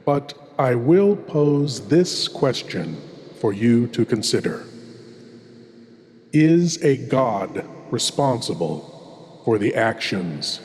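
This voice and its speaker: A man's voice: medium pitch, very animated, darkish tone. A man playing a courtly, sinister horror host who speaks in a grandiose, theatrical way. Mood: grave. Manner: grandiose, slow, deliberate delivery. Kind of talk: theatrical horror anthology introduction. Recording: noisy, long cavernous reverb.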